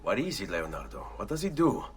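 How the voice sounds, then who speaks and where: An actor playing an impassioned young Italian Renaissance assassin in a video game, with an Italian accent and an intense, emotional delivery. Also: male voice, fairly low pitch, wildly swooping pitch, brisk, slight background noise. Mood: wondering. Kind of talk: dramatic video game cutscene acting. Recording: distant, with loud music behind.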